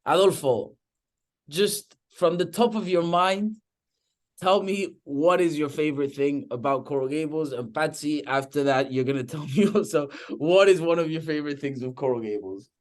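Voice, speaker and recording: A man's voice: fairly high pitch, moderate pace. A young man from Madrid with a Spanish accent, hosting a real estate webinar enthusiastically and often checking in with "okay?". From Spain (Madrid). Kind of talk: webinar presentation.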